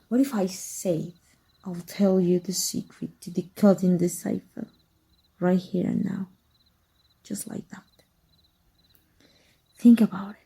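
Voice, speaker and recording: Female, woman from Mexico doing a scheming ex-magic teacher for ASMR roleplay; deep mellow tone, breathy, soft teasing half-whisper. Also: full character voice. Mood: probing, wary. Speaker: voice actor.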